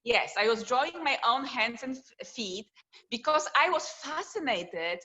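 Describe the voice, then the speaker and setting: A woman's voice: on the high side, big pitch swings, a little slow, pushed and bright. A woman from Poland with a Polish accent, a guest artist talking casually in a video call interview, laughing often and speaking English as a second language. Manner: non-native phrasing, laughs often. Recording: dull lo-fi video call audio.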